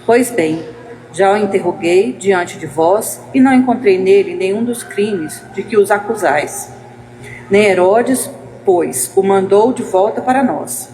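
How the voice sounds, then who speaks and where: A woman's voice: mid-range voice, unhurried, darkish tone, slight background noise, lightly acted, poor audio. A woman reading the part of a formal, judging governor in a dramatized scripture reading, with declarative delivery. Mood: formal, reasoning.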